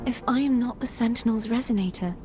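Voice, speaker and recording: A gentle young maiden from a video game , played by a woman, with an air of mystery and searching. Her phrasing is polite, measured and slightly formal. Clear music plays underneath. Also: fairly high pitch, close to an everyday voice. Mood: troubled, solemn. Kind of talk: scripted video game voice acting.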